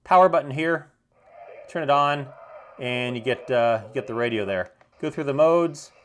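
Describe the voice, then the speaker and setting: A man's voice: medium pitch, normal speed, fairly bright tone, a little hiss or hum. Man explaining a product, plain-spoken. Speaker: product reviewer. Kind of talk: unscripted product demonstration.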